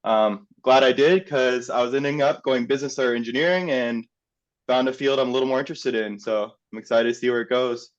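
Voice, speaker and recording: Relaxed man on muffled call audio. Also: medium pitch, brisk. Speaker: student. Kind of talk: self-introduction. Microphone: video call.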